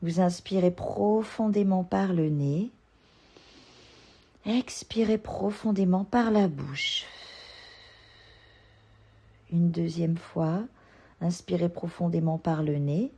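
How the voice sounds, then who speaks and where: A woman yoga instructor leading a guided relaxation meditation, giving gentle, soothing instructions. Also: on the low side, slow, long pauses, slightly rough.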